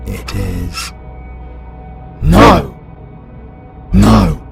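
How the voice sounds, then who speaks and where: A British man voicing the cold, menacing dark side of a possessed demon hunter in a fantasy audio drama. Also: heavily acted. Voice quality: gravelly, very deep. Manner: slow, cold, ominous pronouncements. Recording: music underneath.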